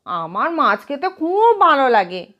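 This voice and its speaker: Woman voicing a nasal, high-pitched ghost servant boy. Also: very animated, unhurried, sharp tone, muffled recording, exaggerated voice. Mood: plaintive, wheedling. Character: Makhan, nasal-voiced ghost of a fish-craving servant boy. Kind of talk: storybook character voice.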